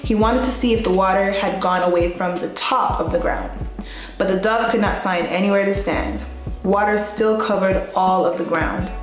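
A young adult woman hosting a Bible storytime, reading and telling stories to camera in a playful, expressive, colloquial way. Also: mid-range voice, moderate pace. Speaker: host of a Bible storytime show. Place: small bright indoor room at a desk. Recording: very echoey room, music behind.

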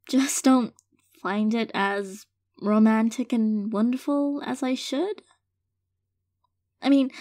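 A woman's voice: a little high, dry recording, clean studio sound. A woman playing an affectionate, hesitant girlfriend in an intimate audio roleplay, speaking sincerely and tenderly to her partner. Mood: gently explaining. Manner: soft-hearted, hesitant, halting, gently sincere. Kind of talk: intimate audio roleplay, casual monologue to listener.

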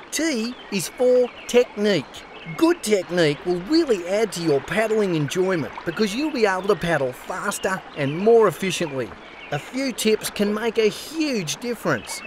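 A man's voice: sits high, a little hiss or hum. A man voicing a cheerful, friendly cartoon koala mascot with an Australian accent, giving scripted safety advice in an upbeat, instructive way. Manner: upbeat, instructive, clearly enunciated. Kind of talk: scripted children's-style safety narration.

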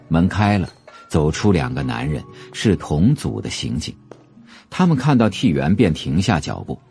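Male narrator for audio fiction: expressive range, measured and atmospheric, soft music underneath. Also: deep voice. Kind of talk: scripted audio drama narration of a novel.

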